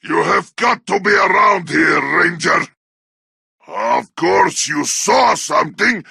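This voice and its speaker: A man playing a brutish, bloodthirsty orc warrior in a video game, snarling crude taunts in a harsh, raspy growl. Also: sits high, lively intonation, slow pace, pushed and bright, no echo, raised voice, full character voice. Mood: suspicious, gruff. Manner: snarling battle barks in crude, broken grammar. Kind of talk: video game combat barks.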